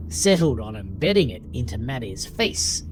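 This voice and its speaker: A man narrating a scripted horror audio drama in a theatrical, deliberate manner. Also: medium pitch, very animated, a little hiss or hum, low-quality recording. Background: music.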